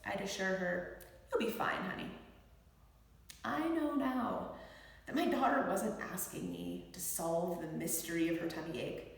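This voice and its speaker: Woman, middle-aged, expressive read-aloud, deliberate phrasing, gravelly fry, heavy room reverb. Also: mid-range voice. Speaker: physician and author reading own book aloud. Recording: very echoey room with long reverb.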